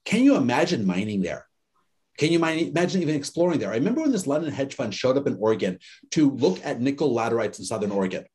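A man teaching an online class in a lively, conversational way, telling stories and acting out the people he quotes. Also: mid-range voice, quick pace. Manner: animated storyteller, acts out quoted people. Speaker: course instructor.

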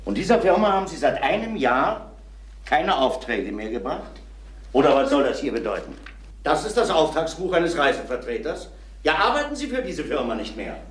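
An actor playing a persistent, authoritative police inspector in a crime radio play, putting curt, probing questions. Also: male voice, fairly high pitch, sing-song, normal speed. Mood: stern, pressing. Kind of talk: German crime radio drama acting. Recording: roomy, noisy vintage radio drama.

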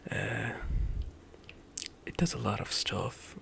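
Young male ASMR gamer, slow gravelly whisper, close-miked. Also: on the low side, lively intonation. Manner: soft whispered rambling with light laughs. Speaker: ASMR gaming streamer. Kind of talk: whispered ASMR gameplay commentary. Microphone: close studio mic, headset on.

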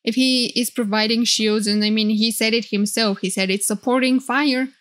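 A woman doing excited live reaction commentary as a streamer, gushing and flustered, often repeating herself. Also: a little high, moderate pace, no echo. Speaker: VTuber streamer reacting to game trailers. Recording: game trailer music behind voice.

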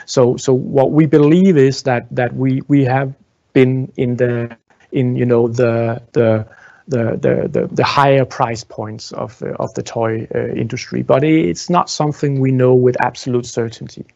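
A man in his thirties talks as a tour guide, informally and haltingly, often doubling back on his words. His mid-pitched voice has a gravelly creak. The sound is close and dry but a little dull. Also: steady tone, mellow, dry recording. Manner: halting, frequently repeats words while explaining. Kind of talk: informal guided tour talk, answering questions. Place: indoor museum exhibition room. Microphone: small clip-on lapel mic.

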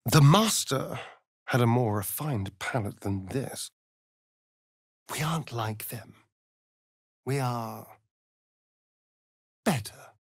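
A man's voice: mid-range voice, lively intonation, takes it slowly, pauses often, slightly rough, no room sound, clean studio sound, exaggerated voice. A man playing a vain, sardonic, centuries-old vampire rogue in a video game, with an arch, theatrical delivery. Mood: bitter, brooding. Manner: arch, flamboyant, drawling, mocking. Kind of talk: video game character acting.

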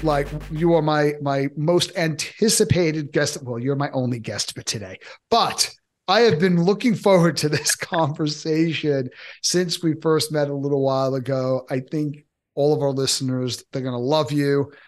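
A man's voice: on the high side, normal speed, faint music behind. A man hosting a podcast interview in a casual, playful, informal chat style. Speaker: podcast host.

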